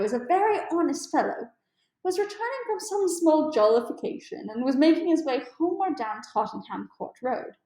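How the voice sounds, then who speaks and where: A woman's voice: high-pitched, darkish tone, roomy sound, poor audio. A woman voicing a keen, amused male detective with precise, formal and emphatic speech in a storybook read-aloud.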